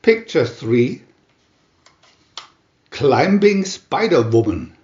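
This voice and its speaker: A man reading a comic adventure booklet aloud as a dramatic narrator, announcing each part with theatrical pauses. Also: mid-range voice, very animated, darkish tone, reverberant, lo-fi sound.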